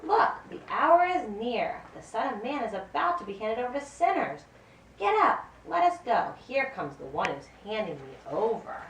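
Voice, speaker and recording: An earnest, commanding Jesus in a Bible puppet play, acted out by a woman. The delivery is solemn and drawn out, swinging widely in pitch, and it sounds roomy and far from the mic. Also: big pitch swings, normal speed. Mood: urgent, reproachful. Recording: roomy, distant mic with faint noise.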